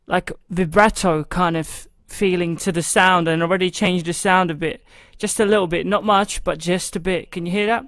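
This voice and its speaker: A man's voice: sits high, a bit bright, no echo. A man hosting a music production tutorial in a home studio. He talks loosely through each step into a studio microphone, with lots of "you know" and "like". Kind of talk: casual step-by-step software tutorial. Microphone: studio microphone into a recording channel.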